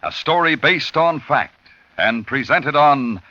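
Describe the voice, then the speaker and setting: An American man announcing a suspense radio show in a formal, dramatic, deliberate 1950s style on a vintage recording with music behind him. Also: fairly high pitch, lively intonation, dull audio.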